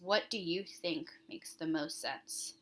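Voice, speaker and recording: A woman's voice: lowish voice, takes it slowly. A woman speaking as an elementary school teacher, reading comprehension questions aloud and addressing children directly with instructive emphasis on key words.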